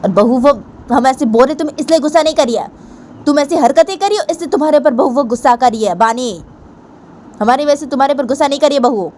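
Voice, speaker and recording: A stern middle-aged aunt scolding a young woman in a raised, firm voice, as a woman narrator puts her on. She speaks a little higher than the teller's own voice, with traffic heard behind. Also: quick pace, mellow, close to an everyday voice.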